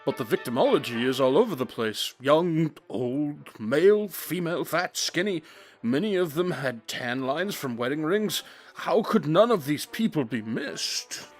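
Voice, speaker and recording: An actor playing a brilliant, brooding detective in an audio drama, speaking in a deliberate, analytical and slightly formal way. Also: male voice, on the high side, lively intonation, faint music behind. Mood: analytical, intense. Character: brooding, brilliant detective, intense and self-absorbed.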